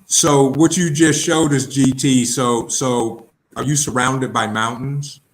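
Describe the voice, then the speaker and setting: A man's voice: medium pitch, steady tone, slow, low-quality recording. A man in a casual call who often agrees in short words and speaks his mind plainly. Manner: agreeable, frequent short affirmations. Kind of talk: casual video call chat.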